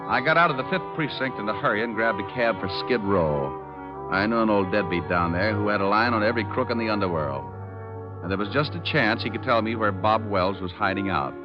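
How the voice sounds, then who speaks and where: Man playing a low-voiced hard-boiled private eye over music. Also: lively intonation, a little fast, close to an everyday voice. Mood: wry narrating. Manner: dry, hard-boiled, wisecracking. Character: rough, wisecracking male private detective. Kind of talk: 1940s-50s radio detective acting and narration. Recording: dull old-time radio recording.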